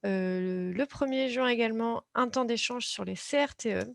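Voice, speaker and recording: A woman's voice: a little high, moderate pace, creaky, no echo. Woman hosting, close dry sound. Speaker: conference host. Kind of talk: semi-scripted webinar moderation and announcements.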